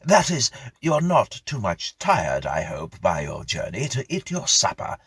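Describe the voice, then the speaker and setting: Man acting a fussy, eager old gentleman, gravelly. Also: fairly low pitch, wildly swooping pitch, a little slow, dry recording, voice pushed up, heavily acted. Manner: effusive, repetitive, fussy questioning. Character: tall, thin, austere old gentleman, eagerly kindly and fussy.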